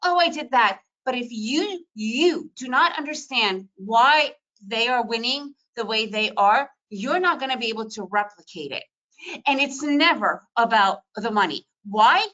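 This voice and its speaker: A middle-aged woman from the former Soviet Union, a native Russian speaker, giving an energetic, emphatic webinar talk on trading. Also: on the high side, unhurried, lo-fi sound. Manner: energetic, emphatic, repetitive phrasing. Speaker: trading educator. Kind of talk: webinar presentation.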